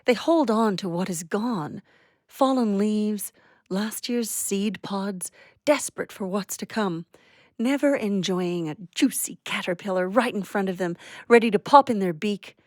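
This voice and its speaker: Lady doing a poetic little songbird, proud and lyrical, mid-pitched, really dry close sound. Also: measured pace, darkish tone, dry recording, lightly acted. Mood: philosophical. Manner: lyrical, declamatory. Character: poetic, philosophical little warbler, proud and showy. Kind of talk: audio drama acting.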